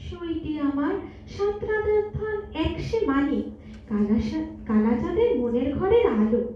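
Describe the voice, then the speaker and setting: Heard from a distance in a reverberant hall, an actress plays an affectionate young woman teasing her friend. Her extremely high, lively voice sings through theatrical rhymed stage verse. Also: unhurried. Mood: fond. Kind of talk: rhyming verse stage audio drama in Bengali. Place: multipurpose hall stage in Kolkata. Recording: very echoey hall, distant mic, dull, noisy.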